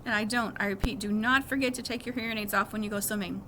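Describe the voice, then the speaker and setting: A woman's voice: mid-range voice, steady tone, quick pace, natural voice. A woman voicing a caring, reassuring mother who coaxes and instructs her young son in a storybook reading, with noise behind her. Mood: instructing. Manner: coaxing, instructing. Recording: clearly noisy background.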